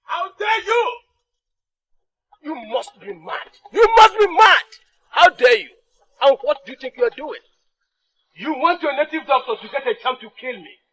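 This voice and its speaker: Raging king, high bright voice, swings up and down a lot. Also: lively intonation, brisk, breathy edge, exaggerated voice, poor audio. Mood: outraged. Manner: shouting, imperious, outraged. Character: furious proud male king, commanding.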